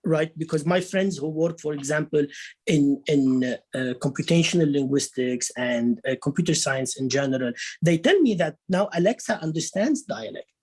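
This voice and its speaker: A man's voice: on the high side, normal speed, slightly rough. A man with a Lebanese Beirut accent speaking as a guest professor on a conference panel, repeating words and often tagging his points with "right?". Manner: animated, repeats words, frequent tag "right?". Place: conference stage.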